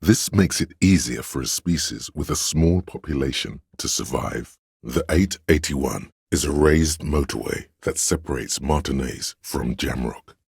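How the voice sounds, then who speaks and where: A man voicing an inner encyclopedia for a video game, a grave, detached, erudite narrator who states facts in a measured, deliberate way. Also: very deep voice, gravelly, a little mellow, husky, no room sound, clean studio sound, exaggerated voice. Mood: learned, detached.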